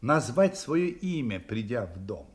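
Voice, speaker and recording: An actor playing a confident, incisive private detective in an audio drama, reasoning aloud with assurance. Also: male voice, mid-range voice, very animated, measured pace, slightly rough. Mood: assured, reasoning. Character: confident, sharp, probing male private detective. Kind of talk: Russian audio drama acting.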